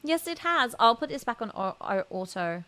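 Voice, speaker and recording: A woman game streamer giving casual, gushing, expressive reaction commentary over gameplay. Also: on the high side, moderate pace, no room sound.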